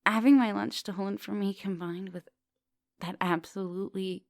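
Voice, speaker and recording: Casting: woman to play a female vampire professor for ASMR roleplay; gentle, hungry seductress, intimate whispers and murmurs, fry-laden voice, very dry close recording. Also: mid-range voice, fairly even intonation, normal speed, no room sound, natural voice. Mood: craving, restless. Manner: breathy murmurs and whispers, coaxing pet names. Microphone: close microphone.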